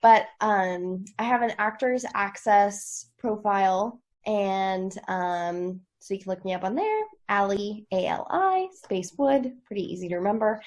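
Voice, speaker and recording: Actress on a video call, normal pitch, just chatting casually. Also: female voice, a little slow, hardly any pauses, a little mellow. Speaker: actress and guest. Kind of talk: casual chat.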